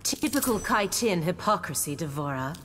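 An actress voicing a poised, proud young warrior woman in a video game, measured, formal and coolly defiant. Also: lowish voice, very animated, fairly bright tone. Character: poised, proud young female warrior, loyal and sharp-tongued. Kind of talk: video game character dialogue.

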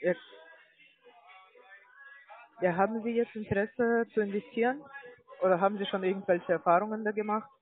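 A woman account manager giving a phone sales pitch in a hesitant, stumbling way. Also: steady tone, moderate pace, pauses often, soft background music. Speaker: cold-calling account manager. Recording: narrow tinny phone line.

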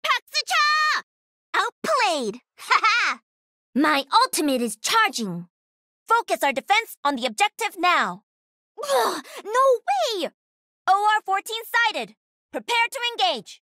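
An actress playing a cocky, playful young pro-gamer mech pilot in a video game, with peppy, punchy delivery. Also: female voice, very high voice, bright, forceful tone, no echo, clean studio sound, full character voice. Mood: excited, competitive. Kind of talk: video game character voice lines.

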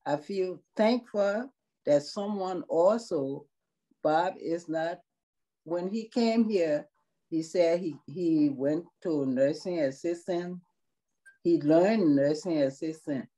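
A woman's voice: lowish voice, dark tone, rough recording. A middle-aged woman from Liberia with a Liberian accent, a long-term care worker speaking earnestly in a video-call interview. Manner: slow, earnest, measured. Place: small room indoors.

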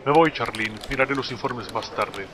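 A man playing a busy, businesslike police captain in a game dub, brisk and authoritative, with a Latin American Spanish accent. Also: medium pitch, natural voice. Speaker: voice actor in a game fan dub. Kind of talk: dramatic dubbed dialogue. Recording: noisy office ambience behind.

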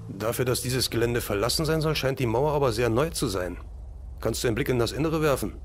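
A man's voice: medium pitch, animated, brisk, natural voice. A man plays a loyal crime-fighting agent in a radio drama. His voice sits mid-range, casual and reassuring like a buddy's, with slight noise behind it. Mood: curious, businesslike. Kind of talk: amateur German radio play acting.

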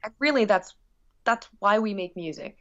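A woman's voice: mid-range voice, expressive, moderate pace, a bit bright. Chatty woman on a dull, lo-fi recording. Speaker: musician guest. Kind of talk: casual interview chat.

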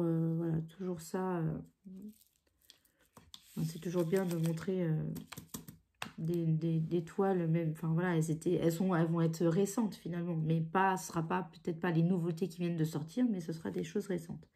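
A woman talks casually from her craft table, her voice stuffy with a cold. The tone is low and very dark and mellow. She rambles, restarting her sentences and filling the gaps with hesitations. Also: little pitch movement, moderate pace. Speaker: craft channel host. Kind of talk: casual chat while crafting. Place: home craft table, indoors.

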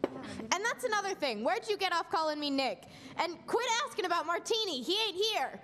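A woman's voice: extremely high pitch, very animated, moderate pace, in a large hall, background noise. A woman playing a surly, tough-talking bartender with a brusque, threatening manner in a live radio play. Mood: angry. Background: music.

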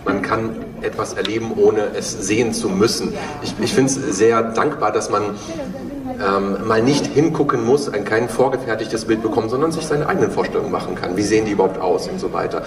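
A man's voice: lowish voice, a little fast. A man in his thirties talking casually and a little hesitantly as a guest on a stage panel. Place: on stage in a dark event hall. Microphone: handheld microphone, heard through room. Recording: echoey hall, distant, crowd noise.

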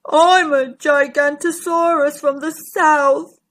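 A huge, lonely female dinosaur from a picture book, played by a woman, pleads slowly and mournfully. Her plaintive words stretch out in a bright, high voice that carries real sorrow. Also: steady tone. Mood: sad, forlorn. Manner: slow, drawn-out, plaintive delivery.

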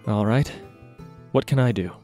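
A man's voice: lowish voice, moderate pace, dark tone, faint music behind, close to an everyday voice. Man acting a caring, direct male mage. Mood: reassuring. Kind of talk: dramatised audio fiction dialogue.

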